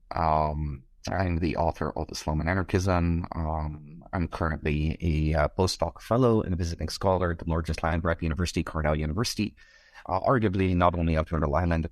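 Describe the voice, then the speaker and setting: A man's voice: sits low, no room sound. A middle-aged man from Egypt, a scholar being interviewed, speaking in wordy, hesitant academic phrasing.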